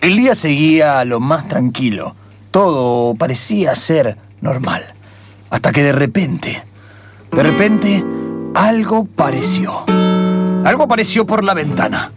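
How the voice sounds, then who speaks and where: Male narrator for radio drama, slightly high voice, portentous delivery, clear musical bed. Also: expressive. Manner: portentous, deliberate repetition. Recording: music behind the voice.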